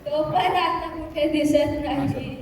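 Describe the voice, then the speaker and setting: Slow, high woman playing a doting old grandmother. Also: fairly even intonation, a crowd in the background. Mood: nostalgic. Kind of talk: amateur stage play acting. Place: stage in a college hall. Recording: very echoey hall from far off.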